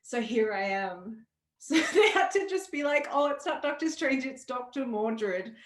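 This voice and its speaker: A woman's voice: on the high side, lively intonation, a little slow. A woman chatting casually and jokingly on a video call from an echoey room, peppering her talk with "like". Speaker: costumed participant.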